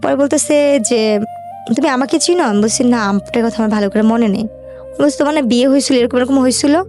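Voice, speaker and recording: A young woman with a Bangladeshi accent and a fairly high voice tells a personal story as an interview guest. She talks colloquially, chaining events and quoted exchanges together. Faint music plays behind her. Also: fast talker, darkish tone. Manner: colloquial, run-on narration with quoted dialogue.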